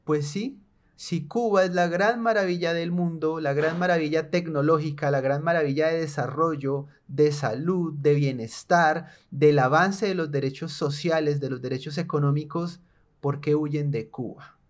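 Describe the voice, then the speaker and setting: A man's voice: a little high, moderate pace. A young man from Colombia giving sarcastic, indignant political commentary in a small room. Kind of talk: opinionated video commentary.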